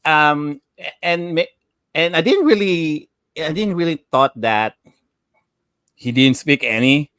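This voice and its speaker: A man with a Filipino accent co-hosting a casual chat, telling stories haltingly and repeating words. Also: on the high side, animated, fairly bright tone, smooth, no echo, clean studio sound. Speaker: show co-host.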